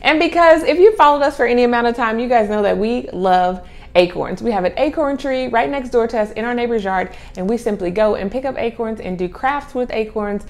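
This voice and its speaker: A woman's voice: a little high, fairly quick. A middle-aged woman chatting casually to camera as a homeschooling vlog host, stretching and repeating key words for emphasis. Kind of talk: casual chat to camera, presenting children's books. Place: small home room with sloped ceiling. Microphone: clip-on lapel mic.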